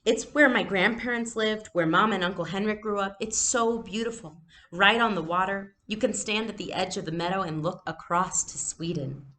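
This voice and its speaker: A woman's voice: mid-range voice, normal speed, few pauses, pushed and bright, pitched above the performer's own voice, close to an everyday voice. A woman voicing an eager, enthusiastic young girl in a storybook.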